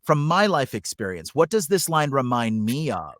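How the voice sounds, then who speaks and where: Male voice coach, mid-pitched, conversational storyteller, animated explanations, dry close sound. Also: moderate pace, no room sound. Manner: animated, acts out examples as he explains. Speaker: voice actor and coach.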